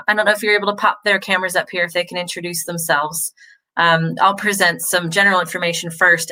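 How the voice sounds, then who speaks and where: A woman's voice: lowish voice, bright, forceful tone. A woman from the United States hosting a live online question-and-answer session, chatty and upbeat with frequent fillers, speaking over a video call. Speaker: host of a university Q&A. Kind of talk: informative livestream presentation. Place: home in Wales.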